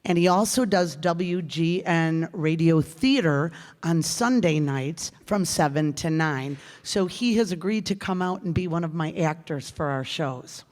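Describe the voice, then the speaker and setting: A woman's voice: low voice, unhurried, a little mellow. An American woman, a theater director, making informal, enthusiastic and rambling announcements to a live audience. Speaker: director and actor in a radio theater group. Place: live performance hall. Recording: reverberant hall.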